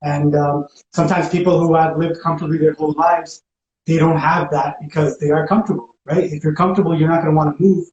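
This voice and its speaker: A man's voice: a little high, flat delivery, fast talker, darkish tone, lo-fi sound. A man talking casually and reflectively, in informal everyday language. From spent teenage years in Ecuador. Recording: roomy echo, faint background noise.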